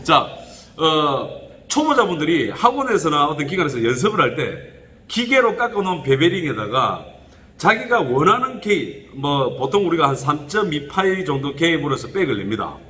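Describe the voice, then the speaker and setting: A middle-aged man speaking with a regional Korean dialect, giving casual spoken welding instruction in a rambling, animated way full of fillers. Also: normal speed, big-room sound. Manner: animated, rambling, with frequent fillers and pitch jumps.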